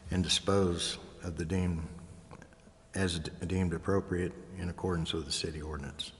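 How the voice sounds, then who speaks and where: A council member making a formal motion, slowly and carefully, stumbling over the wording. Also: male voice, sits low, fairly even intonation. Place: council chambers. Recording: long reverb in council chamber.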